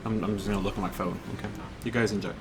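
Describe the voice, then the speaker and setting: A man's voice: on the low side, steady tone, rapid-fire, background noise. A man casually rambling while commenting on his own gameplay, chatty and self-deprecating. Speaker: let's play host.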